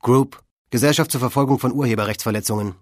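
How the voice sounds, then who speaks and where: A man's voice: medium pitch, fast talker, no echo, clean studio sound. A male announcer reading scripted glossary entries and captions in a clipped, factual manner. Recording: loud music behind the voice. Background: hip hop music.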